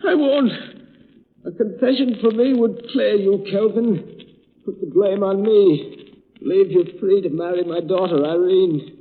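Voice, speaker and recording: Defiant high-voiced captive, old radio. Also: male voice, unhurried. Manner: protesting, dramatic. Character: defiant, wronged father held captive in a vault. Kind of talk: 1930s radio thriller acting. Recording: dull old radio recording.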